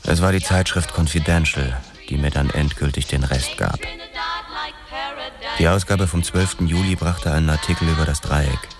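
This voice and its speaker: Very deep-voiced, world-weary detective. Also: male voice, fairly even intonation, normal speed. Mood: sober, resigned. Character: world-weary disgraced American police detective narrating his downfall. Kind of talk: scripted first-person radio drama narration. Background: music.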